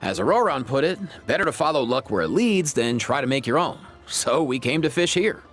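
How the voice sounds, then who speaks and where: A man playing an easygoing, upbeat young bro in a video game, with a breezy, slangy, slightly husky delivery. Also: medium pitch, big pitch swings, a little fast, a bit bright. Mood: playful. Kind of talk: animated video game acting. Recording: faint game music under the voice.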